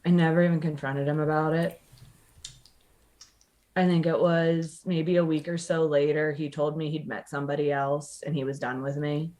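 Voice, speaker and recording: A woman's voice: deep voice, little pitch movement, moderate pace, darkish tone. A woman telling a personal story casually as a podcast guest, candid, sardonic and sweary, acting out the dialogue she quotes.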